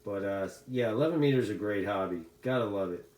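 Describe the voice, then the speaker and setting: A man's voice: lowish voice, fast, heavy background noise. A middle-aged American man chatting casually as a CB radio hobbyist, talkative and given to repeating his phrases. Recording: echoey room sound.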